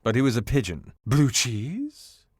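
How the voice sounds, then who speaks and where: An actor playing a flamboyant, excitable washing machine in a video game, grandiose, romantic and melodramatic in delivery. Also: male voice, mid-range voice, a little slow, husky, no room sound, studio quality. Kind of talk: theatrical video game voice acting.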